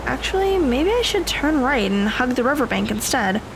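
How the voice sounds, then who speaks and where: A woman's voice: sits high, very animated, normal speed, creaky, heavily acted. A woman playing an anxious, self-questioning teenage girl who frets and thinks aloud to herself in an audio drama. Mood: frustrated, puzzled. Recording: stormy ambience noise behind voice.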